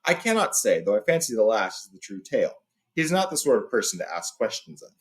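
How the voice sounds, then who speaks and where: A man's voice: lowish voice, big pitch swings, fast. A man voicing a courteous, authoritative old wizard in a storybook, speaking formally and with emphasis. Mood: cautioning. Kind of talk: storybook read-aloud character.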